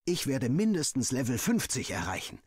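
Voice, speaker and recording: A man voicing a frustrated, stubborn schoolboy in a story, with indignant, drawn-out exclamations. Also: medium pitch, wildly swooping pitch, breathy edge, dry recording, studio quality, pitched above the performer's own voice. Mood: determined.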